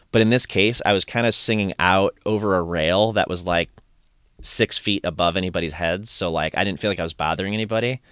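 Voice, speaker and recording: A man's voice: low voice, fairly bright tone, smooth, no echo, studio quality. A man hosting a podcast, chatting casually and enthusiastically with many restarts, his voice a bit strained from singing. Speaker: podcast host. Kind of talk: casual conversational recap.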